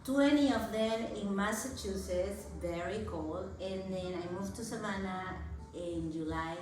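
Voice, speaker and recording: A woman's voice: mid-range voice, a little slow, darkish tone, slight background noise. Earnest woman from Peru, echoey room. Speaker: wellness coach. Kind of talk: conversational explaining. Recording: very echoey room, far from mic.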